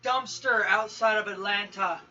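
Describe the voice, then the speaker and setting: A man's voice: high-pitched, steady tone, measured pace. A man playing a tabletop game, talking casually and bluntly with plenty of swearing. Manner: blunt, profane. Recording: distant, dull, noisy room pickup.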